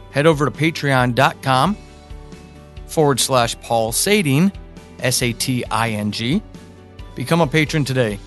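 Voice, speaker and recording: A man hosting a podcast, making a promotional closing announcement in emphatic, deliberately phrased speech. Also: medium pitch, fairly bright tone. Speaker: podcast creator and host.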